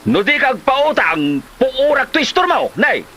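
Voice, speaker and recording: A man's voice: very high-pitched, sing-song, a little slow, bright, forceful tone, full character voice. A man playing a shifty, irritable, broke husband in a comedy drama, with a Filipino accent and a dismissive manner. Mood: evasive, threatening. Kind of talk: Ilocano comedy drama acting. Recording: light noise, rain-like ambience.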